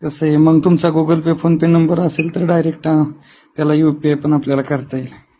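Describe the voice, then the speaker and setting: A man's voice: a little high, flat delivery, moderate pace. A man talking on a recorded phone call in a probing, confrontational way. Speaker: phone caller. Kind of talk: phone conversation.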